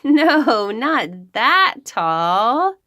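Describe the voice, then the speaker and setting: Woman voicing a small, stubborn storybook child, lively pitch. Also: extremely slow, heavily acted. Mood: dismissive.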